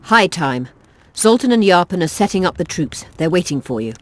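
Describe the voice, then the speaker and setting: An actress playing a determined female rebel commander in a video game, measured, serious and commanding. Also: lowish voice, expressive, slight background noise, close to an everyday voice. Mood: grave, resolute.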